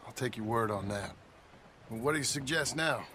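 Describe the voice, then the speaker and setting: An actor playing a weary, gruff outlaw husband trying to go straight, with an American accent and folksy, halting speech in a western game. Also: male voice, medium pitch, sharp tone, a little hiss or hum, natural voice. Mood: earnest. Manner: folksy, plain-spoken, halting. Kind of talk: cinematic western game dialogue.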